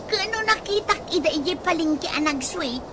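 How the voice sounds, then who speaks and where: A woman's voice: very high-pitched, animated, moderate pace, noisy background. A woman acting in an Ilocano radio comedy drama as a nagging, questioning, exclamatory wife. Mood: dismissive.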